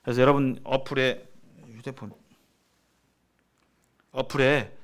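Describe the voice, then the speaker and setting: A man's voice: mid-range voice, steady tone, slow. A man giving a sermon-like seminar lecture as a preacher, speaking emphatically and repeating phrases for stress. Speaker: preacher leading a church seminar.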